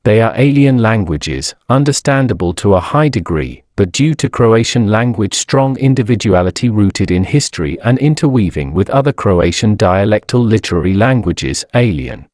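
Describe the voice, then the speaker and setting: A man narrating a scripted history lecture in a measured, formal manner. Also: on the low side, animated, a little mellow, no echo, clean studio sound.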